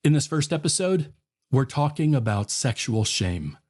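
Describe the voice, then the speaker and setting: A man hosting a podcast, reading an earnest, measured introduction. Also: medium pitch, very animated, darkish tone. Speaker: podcast host.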